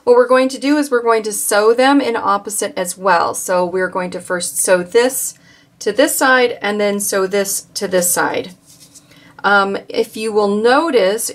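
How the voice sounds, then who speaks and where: A woman calmly walking viewers through a quilt block step by step, with occasional fillers as she works. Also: mid-range voice. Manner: calm, instructive, with occasional fillers. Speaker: quilting instructor. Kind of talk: step-by-step craft tutorial.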